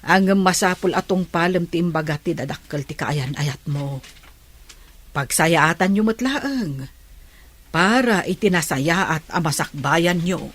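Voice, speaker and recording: A woman playing a stern, caring mother with a husky voice and an Ilocano accent. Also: fairly low pitch, expressive, unhurried. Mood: admonishing.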